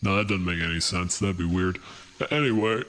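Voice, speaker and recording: Man doing a low, puzzled mutterer. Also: moderate pace, a bit bright. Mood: puzzled, dismissive. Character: puzzled character muttering doubts to themself.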